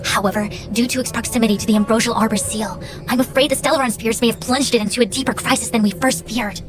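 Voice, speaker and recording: An actress voicing a haughty, brisk young female master diviner in a video game, formal, articulate and authoritative. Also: on the high side, fairly even intonation, close to an everyday voice. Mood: grave, brisk. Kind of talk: scripted video game voice acting. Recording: game music underneath.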